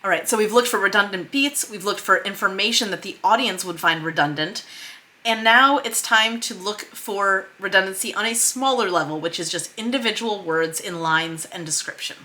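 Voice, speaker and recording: Bright-voiced woman walking through script revisions. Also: mid-range voice, normal speed. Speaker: screenwriter hosting a writing tutorial. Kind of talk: explanatory screen-share lesson, reading script excerpts aloud.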